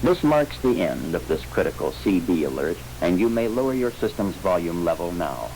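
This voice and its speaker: A man delivers a scripted alert announcement, reading formally in a solemn, measured bulletin manner. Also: low-pitched, sing-song, darkish tone, low-quality recording. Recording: voice recording with clear humming noise.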